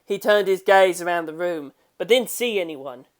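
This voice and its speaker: A woman's voice: on the low side, animated, normal speed. A woman reading a scripted dramatic story as its narrator. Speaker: audiobook narrator of fan fiction.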